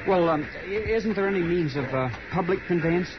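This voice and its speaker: Naive young easterner, polite, hesitant, animated intonation, dull noisy vintage radio recording. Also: male voice, high voice, normal speed. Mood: hesitant, hopeful. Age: 20s. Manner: formal, hesitant, courteous. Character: polite, naive young city gentleman out West. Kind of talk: 1950s radio drama acting.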